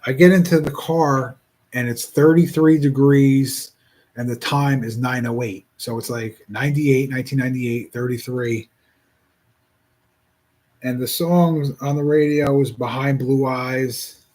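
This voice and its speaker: A man in his forties speaking in a casual reflective monologue, rambling and halting with many restarts. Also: medium pitch, steady tone, darkish tone. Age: 40s.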